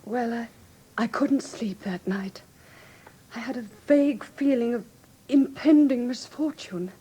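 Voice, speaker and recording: High-voiced, anxious English gentlewoman. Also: a little slow, slightly rough, rough recording. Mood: haunted, tense. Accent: English Received Pronunciation. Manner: genteel, earnest, precise diction. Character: refined, anxious young woman recounting her sister's death. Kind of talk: classic British radio drama acting.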